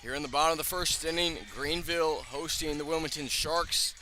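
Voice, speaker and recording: A man calling live baseball play-by-play for radio, describing the action in measured phrases with dramatic emphasis. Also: a little high, steady tone, pushed and bright, slight background noise, low-quality recording. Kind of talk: live radio sports commentary. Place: outdoor ballpark broadcast.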